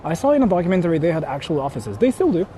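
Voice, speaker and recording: A man with a Japanese accent talks in a slightly high voice over light outdoor city noise. He rambles casually like a live streamer, informal and blunt as he chats with viewers. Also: sing-song, very fast, dark tone. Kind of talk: casual livestream chat, answering viewers. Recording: noisy outdoor street, traffic ambience. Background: urban ambience and vehicles.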